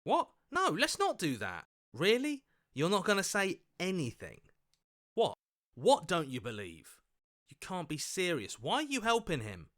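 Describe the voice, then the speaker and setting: A man's voice: high voice, wildly swooping pitch, measured pace, a bit bright, exaggerated voice. An actor playing an earnest, doubtful everyman hero in a video game, questioning and hesitant as he reasons aloud. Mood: wary, incredulous.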